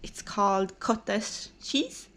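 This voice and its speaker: A woman's voice: expressive, slow, a bit bright, slight background noise. A young woman from Sweden, chatty and upbeat, vlogging casually into an action camera. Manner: chatty, upbeat, coos at her pet in a high baby voice. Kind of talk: casual vlog, talking to camera.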